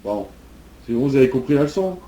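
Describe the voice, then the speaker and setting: A man playing a gruff, impatient police jailer in improvised online roleplay, scolding and brusque. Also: mid-range voice, animated, fast talker, dark tone, close to an everyday voice.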